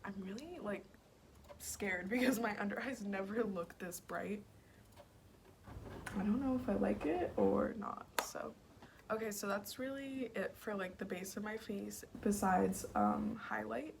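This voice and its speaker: A young woman with a raspy voice, chatting casually through a makeup tutorial and often dropping to a whisper as she works. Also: mid-range voice, unhurried, a little hiss or hum. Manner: chatty, slips into whispers while working. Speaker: beauty vlogger. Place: home, at a mirror.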